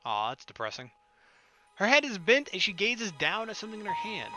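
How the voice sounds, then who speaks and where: Male let's player, fairly high voice, expressive story reading with casual delivery, game music behind him. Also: brisk. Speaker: let's player reading a visual novel aloud. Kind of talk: expressive narration and dialogue reading with casual asides.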